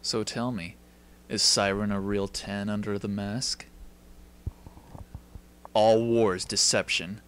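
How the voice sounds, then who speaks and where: Male actor as smug military officer, anime dub, suave, grandiose, commanding, bright projection. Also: lowish voice, flat delivery, slow pace, long pauses, smooth, pitched below the performer's own voice. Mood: cool, sly.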